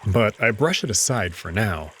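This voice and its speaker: A man's voice: on the low side, big pitch swings, normal speed, noisy background. A man reading a visual novel aloud as first-person dramatic narration.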